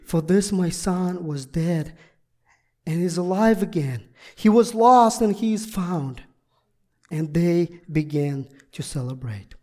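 A guest preacher whose first language is Russian, giving a sermon in halting, deliberate second-language speech. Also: medium pitch, lively intonation, darkish tone. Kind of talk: sermon with prayer and scripture reading.

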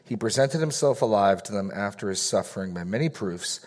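A man's voice: lowish voice, lively intonation, fairly quick, a little mellow. A man leading a Bible study group, teaching casually and taking questions, rambling a little, correcting himself and joking in asides.

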